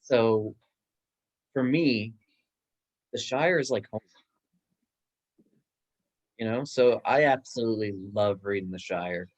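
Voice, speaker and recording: Man, slightly deep voice, enthusiastic, chatty, casual book discussion. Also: takes it slowly, pauses often. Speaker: book club guest, bookstore worker.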